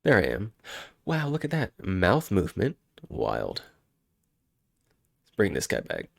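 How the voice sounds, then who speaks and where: A man's voice: low voice, huge pitch swings, a little slow, vocal fry, no echo, clean studio sound. A man with a head cold, sounding congested, chatting casually and rambling on a live stream. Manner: rambling, self-interrupting, chuckling.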